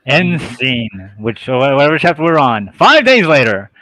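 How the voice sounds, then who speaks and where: Male narrator, medium pitch, reads scene headings and stage directions for a comedy radio drama. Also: big pitch swings, normal speed, sharp tone. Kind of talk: scripted narration of a comic radio play.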